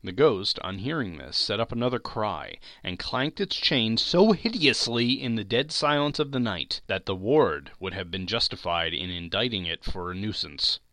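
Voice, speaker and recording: A man narrates a classic tale in a theatrical, expressive audiobook style. His voice is bright and a little high, with lively swings of pitch. The sound is close and dry. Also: moderate pace, few pauses, dry recording. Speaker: podcast narrator.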